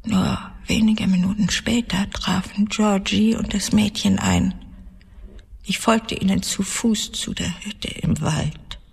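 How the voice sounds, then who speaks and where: A cold-blooded woman confessing murder in a radio drama. Her voice is husky and creaky, with slow, deliberate phrasing that slips into breathiness. A long reverb trails every phrase. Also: medium pitch, steady tone, heavily acted. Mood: stealthy, tense. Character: cold, vengeful female murderer confessing her cruel deeds.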